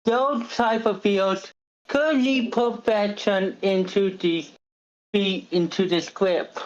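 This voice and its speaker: A woman giving casual, enthusiastic feedback in a halting way, over dull online meeting audio. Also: mid-range voice, darkish tone. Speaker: table read participant giving feedback. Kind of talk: casual chat.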